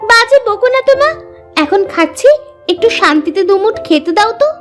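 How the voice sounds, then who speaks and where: Cartoon village sister played by a woman: young, spoiled, snappy, high-pitched and lively. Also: fairly quick, music in the background. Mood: annoyed. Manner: haughty, whiny, dismissive. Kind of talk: animated moral-story cartoon dialogue.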